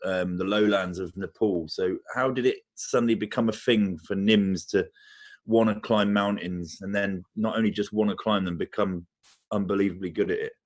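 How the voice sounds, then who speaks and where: Casting note: male podcast host, lowish voice, matey and informal, asks questions. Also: fairly even intonation, moderate pace. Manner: matey, calls his guest "mate". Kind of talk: casual interview.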